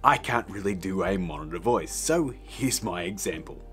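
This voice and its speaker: A man's voice: deep voice, animated, moderate pace, a bit bright, husky. A man, hosting a casual tutorial in a chatty, self-deprecating way. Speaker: host of tutorial videos. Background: background music.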